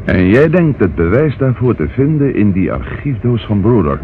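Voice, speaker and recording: An actor in a radio play playing a questioning, pondering police inspector with a deliberate manner. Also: male voice, lowish voice, big pitch swings. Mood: pondering, puzzled. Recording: tinny, noisy old radio recording.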